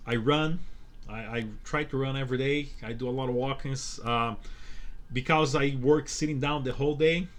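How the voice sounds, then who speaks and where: A man's voice: mid-range voice, steady tone, normal speed, fairly bright tone, a little hiss or hum. A man from Brazil with a Brazilian Portuguese accent, speaking English as a second language as a podcast guest, earnest and repeating words as he searches for them. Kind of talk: casual interview conversation.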